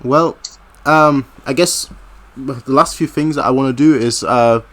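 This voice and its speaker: A man with a bright, forward voice at medium pitch hosts a casual football chat. He speaks hesitantly and at some length, qualifying and rewording as he goes. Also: a little slow. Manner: hesitant, wordy, hedges and rephrases his questions. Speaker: host, interviewer.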